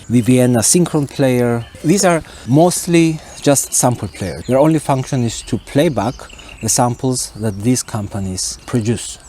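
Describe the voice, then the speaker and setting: A middle-aged man giving a casual, tongue-in-cheek vlog explanation to camera, speaking informally and deliberately. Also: mid-range voice, warm tone. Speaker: video host and music producer. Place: outdoors, park woodland and street. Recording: outdoor ambient noise.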